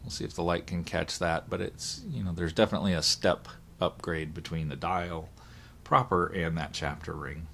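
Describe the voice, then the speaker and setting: A hoarse man, voice still recovering from illness, chatting casually through a product unboxing, thinking aloud with fillers and corrections. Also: low-pitched, fairly even intonation, normal speed, sharp tone, a little hiss or hum. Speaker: watch reviewer.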